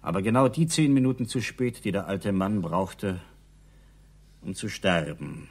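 An actor playing an older doctor in a radio crime drama, speaking frankly and conversationally in a husky voice. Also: male voice, lowish voice, a little slow. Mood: resentful. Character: doctor, frank, dryly resentful male card-player.

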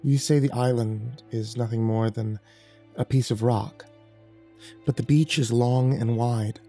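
A gentle, solemn male archangel, voiced by a male storyteller, who speaks softly and calmly. The tone is dark and mellow. It sounds like a line from a spoken horror tale. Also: mid-range voice, unhurried, faint music behind, voice pushed down. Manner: soft and calm.

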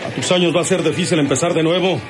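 An actor playing a menacing, mocking bandit with a rural northern Mexican accent and rustic drawl in an old radio drama. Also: male voice, on the high side, racing, background noise, lightly acted. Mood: gloating. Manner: drawling, taunting, threatening.

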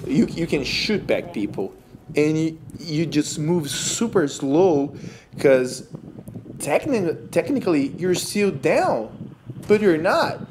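A man's voice: on the high side, big pitch swings, takes it slowly, darkish tone, slight background noise. A man from Brazil with a Brazilian Portuguese accent, speaking English as a second language in casual gameplay commentary, incredulous and laughing at game glitches. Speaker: gaming YouTuber.

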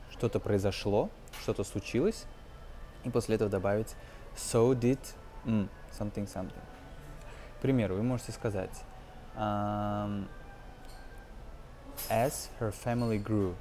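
A man in his twenties giving an informal language lesson as a teacher, explaining phrases in a halting, hesitant way. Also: lowish voice, dark tone, slight background noise. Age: 20s. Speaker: English teacher.

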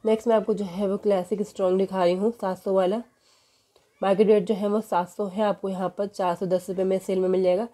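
A woman's voice: mid-range voice, quick pace. A woman, walking through supermarket sale prices in a casual vlog, filming a poster on her phone in a store. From Pakistan. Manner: steady price listing with filler "jo hai". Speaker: shopping vlogger. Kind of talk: casual product walkthrough. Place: indoors in a supermarket.

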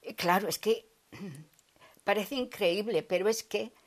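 An older woman whose first language is Basque, telling stories of her student years abroad in a lively, gesturing way, seated on a sofa in a living room. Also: medium pitch, takes it slowly. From Basque Country. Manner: lively storyteller, gestures a lot, acts out her own past remarks. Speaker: interviewee. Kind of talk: casual interview.

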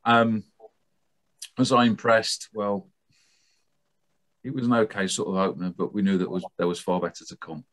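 A man's voice: on the low side, flat delivery, moderate pace, long pauses, fairly bright tone. A man in his forties giving a rambling, casual review on a video call, full of ums and sort-ofs. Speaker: panelist. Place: home room with bookshelves. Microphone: webcam on video call.

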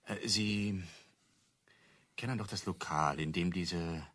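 An actor playing an eager amateur detective in a radio crime drama, theorising in a halting, musing way with a husky voice. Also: male voice, low-pitched, fairly bright tone. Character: eager, hesitant amateur detective at card table.

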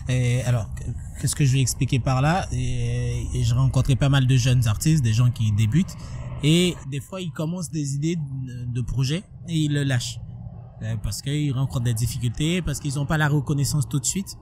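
A man hosting a podcast interview in French, asking questions in a casual, hesitant way with repeated words, recorded on a studio mic in a bright room. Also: mid-range voice, moderate pace, slight background noise. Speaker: podcast host. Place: bright room with plants and large windows. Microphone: studio mic on a boom arm.

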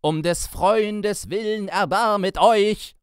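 A man's voice: high voice, takes it slowly, clear, resonant tone, no echo, clean studio sound, voice pushed up. Man acting a desperate loyal friend, crying out. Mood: terrified. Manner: impassioned, declaiming, crying out.

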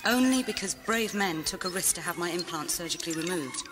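An actress playing an earnest, idealistic rebel in a science-fiction audio drama, solemn and visionary. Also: female voice, lowish voice, lively intonation, brisk, lightly acted. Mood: grave, resolute. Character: earnest, idealistic woman rebel with mystical conviction. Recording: music behind the voice.

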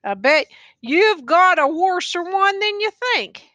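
A woman's voice: extremely high pitch, sing-song, slow pace, bright, forceful tone, dry recording, pitched above the performer's own voice, heavily acted. A woman voicing a wounded, tattered male soldier who rambles on in a slurred, folksy dialect. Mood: rambling, fretful.

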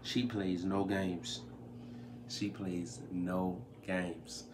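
A man's voice: sits low, little pitch movement, extremely slow. A young man chatting casually to camera as a prank call vlogger, slangy and profane, often repeating his phrases. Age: young adult. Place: small indoor room at home. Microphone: camera mic a short distance away. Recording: faint room noise.

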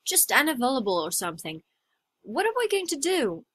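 A young adult woman acting out short scripted everyday dialogues for a listening test, with clear expressive delivery. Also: high-pitched, fairly quick, a little hiss or hum.

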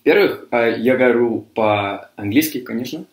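A young man who grew up in Cyprus and speaks English as his first language talks through his answers in a learned language. He hesitates and restarts often, in a mid-pitched voice. The room around him is echoey. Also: moderate pace. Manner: hesitant, many fillers and restarts. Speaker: university student.

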